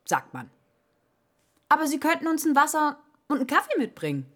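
A woman's voice: high voice, very animated, brisk, pushed and bright, heavily acted. An actress in a radio play voicing a chatty, practical, reassuring woman in her sixties. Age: 60s. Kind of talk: radio drama acting.

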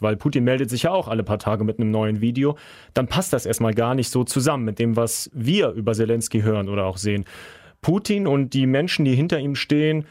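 A man co-hosting a podcast talks things through calmly, plain and matter-of-fact. His voice sits at an ordinary middle pitch, recorded close with a dry, dead sound. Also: no room sound. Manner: calm, plain explaining. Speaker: podcast co-host. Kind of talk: casual explanatory chat.

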